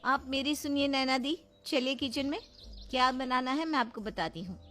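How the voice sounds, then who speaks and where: A woman's voice: high-pitched, moderate pace, fairly bright tone. A woman voicing a haughty, impatient modern young daughter-in-law, bossy and dismissive, in a cartoon story. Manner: bossy, dismissive, mixes in English. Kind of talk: cartoon voice acting.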